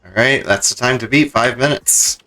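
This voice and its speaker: Very low-voiced woman streamer, casual. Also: moderate pace, sharp tone, faint music behind. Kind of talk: casual game stream commentary.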